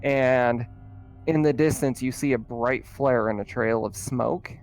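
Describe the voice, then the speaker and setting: A man's voice: medium pitch, a little slow, darkish tone, faint music behind, poor audio. A man casually narrating and refereeing a tabletop roleplaying game as game master. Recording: noticeable background noise.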